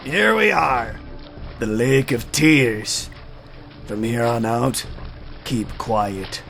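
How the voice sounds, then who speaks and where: Gravelly menacing sailor, played by a man, audio drama, curt folksy speech, music behind. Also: mid-range voice, very slow, a bit bright, exaggerated voice. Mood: gruff.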